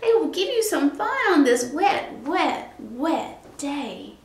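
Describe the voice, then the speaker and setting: A woman's voice: high-pitched, big pitch swings, slow, vocal fry, full character voice. Woman doing a gleeful show-off cat. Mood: coaxing. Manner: sing-song rhyming, gleeful. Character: playful boastful male cat, showing off tricks. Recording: roomy echo.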